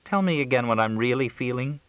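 A man's voice: mid-range voice, lively intonation, a little fast, fairly bright tone, clear, resonant tone, no room sound, pitched above the performer's own voice, natural voice, low-quality recording. A man voicing a plaintive, needy, hungry woman in audiobook dialogue.

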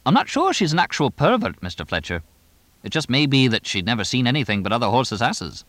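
A man voicing a fast-talking English horse trainer in an audiobook, drily blunt, chatty and deadpan in a clipped way. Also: mid-range voice, no echo, pitched above the performer's own voice. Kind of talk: audiobook character dialogue.

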